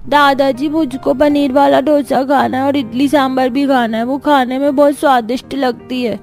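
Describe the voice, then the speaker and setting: A woman's voice: sits high, fairly even intonation, normal speed, a little mellow, faint music behind. A woman voicing an innocent, whiny little boy who pleads childishly in a cartoon story. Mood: sulky. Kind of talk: Hindi cartoon story acting.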